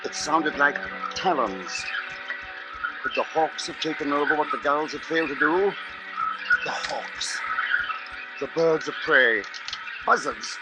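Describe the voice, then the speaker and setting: An actor playing a grave, watchful husband under siege, in measured, brooding 1950s radio drama style. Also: male voice, fairly high pitch, expressive, loud music behind the voice, low-quality recording. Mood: haunted, ominous. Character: besieged husband, grave, watchful, haunted by birds. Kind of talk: 1950s radio drama acting, first-person narration.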